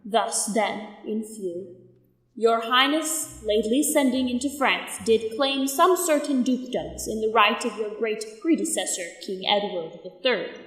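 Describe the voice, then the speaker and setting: A formal, measured French envoy played by a young woman delivers Shakespearean verse in an audio drama. The voice is fairly high, in a long hall echo over music. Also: expressive, fairly bright tone, distant mic. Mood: coolly mocking. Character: formal French royal ambassador bearing a scornful message. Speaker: amateur student actor. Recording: echoey hall reverb under music.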